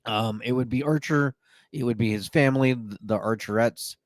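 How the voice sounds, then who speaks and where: A man hosting a podcast, relaxed and a bit jokey, running through news with many fillers. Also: medium pitch, moderate pace, no echo. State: a little woozy. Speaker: podcast host. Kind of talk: casual chat, reading news.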